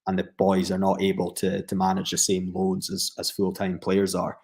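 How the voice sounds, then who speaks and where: A man's voice: low-pitched, monotone, normal speed, darkish tone. A Scottish man, a professional footballer, giving long reflective answers in a podcast interview, with frequent fillers and restarts.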